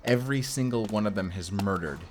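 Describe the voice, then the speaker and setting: A man playing a wry, blunt vampire slayer in an audio roleplay, talking conversationally with halting asides. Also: fairly low pitch, background noise, close to an everyday voice. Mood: grim. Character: wry, blunt young male vampire slayer working undercover in a hotel. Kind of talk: cinematic audio roleplay addressed to the listener.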